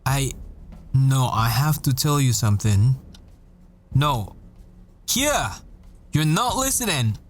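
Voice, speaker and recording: A man playing a troubled boyfriend, a professor and assassin, in a halting, stammering audio roleplay. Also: medium pitch, big pitch swings, a little hiss or hum. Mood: agitated, urgent. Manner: halting, stammering, repeats himself. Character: desperate boyfriend, dazed math professor and assassin. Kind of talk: dramatic audio roleplay acting.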